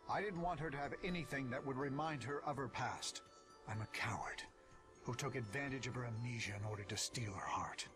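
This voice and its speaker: A man's voice: medium pitch, fairly quick, over music, close to an everyday voice. Man playing a solemn, earnest soldier. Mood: remorseful. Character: remorseful, serious middle-aged soldier and husband. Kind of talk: scripted game cutscene acting.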